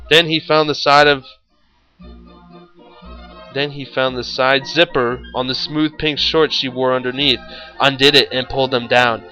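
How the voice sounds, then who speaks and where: A man's voice: mid-range voice, bright, forceful tone, muffled recording. A man reading a story aloud in a theatrical, mock-serious way. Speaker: story reader, show host.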